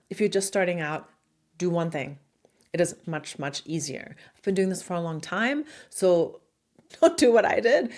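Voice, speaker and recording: A woman's voice: on the low side, darkish tone. A middle-aged woman from Germany with a German accent, giving direct, sometimes silly business advice to camera as a marketing coach on her channel.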